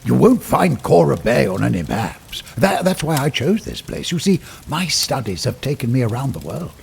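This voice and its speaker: Man playing creaky, wildly animated old eccentric hermit. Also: mid-range voice, a little hiss or hum. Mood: wistful, rambling. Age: older. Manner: halting, theatrical, excitable. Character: eccentric old former doctor, hospitable, boastful, rambling hermit. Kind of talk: audio drama acting in 1930s period setting.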